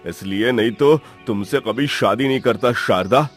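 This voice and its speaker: A man's voice: medium pitch, measured pace, faint music behind, close to an everyday voice. A man voicing an arrogant, cold husband and father in a cartoon story, stern and contemptuous. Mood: cold resentment. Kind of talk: Hindi cartoon story dialogue.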